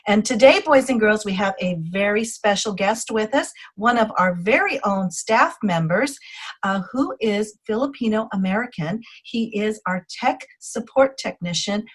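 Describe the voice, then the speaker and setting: A woman hosting a school's morning news show, addressing children in a warm, teacherly way and interviewing a guest. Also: mid-range voice, lively intonation, normal speed, husky, poor audio.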